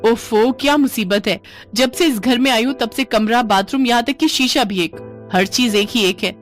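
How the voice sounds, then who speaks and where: A woman plays a young newlywed sister-in-law with a high voice. She grumbles and argues in an exasperated way, like someone fed up with her household. It is cartoon story dialogue over music. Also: normal speed, natural voice. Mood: annoyed. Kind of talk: animated Hindi family story dialogue.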